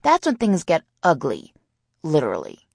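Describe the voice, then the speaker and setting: A mischievous teenage girl with a smart mouth, acted by a woman narrator talks in a low, creaky voice. She piles on teasing, mock-haughty sarcasm in a storybook romance. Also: huge pitch swings, moderate pace, a little mellow, dry recording, studio quality, full character voice. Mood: sarcastic.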